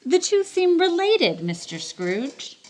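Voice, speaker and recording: A woman in a radio play voicing a stern Mother Superior who admonishes in a measured, reproachful manner. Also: very high voice, very animated, exaggerated voice. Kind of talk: stage radio drama acting.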